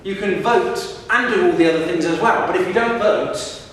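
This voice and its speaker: A middle-aged male professor giving a lively lecture to students, asking them questions as he goes. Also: high voice, normal speed, a little hiss or hum. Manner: lively, engages the audience with questions. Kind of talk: public lecture. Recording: echoey hall recorded from far off.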